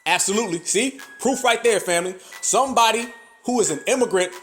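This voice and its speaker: A podcast co-host speaks to his audience in a fairly high voice. His delivery is emphatic and full of swearing, and he calls his listeners family. Faint music plays underneath. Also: a little fast, a bit bright. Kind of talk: commentary to listeners.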